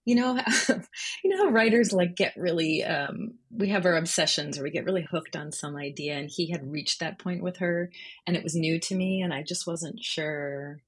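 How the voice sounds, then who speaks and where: A woman's voice: fairly low pitch, normal speed, breathy edge. A woman answering interview questions conversationally as a guest journalist.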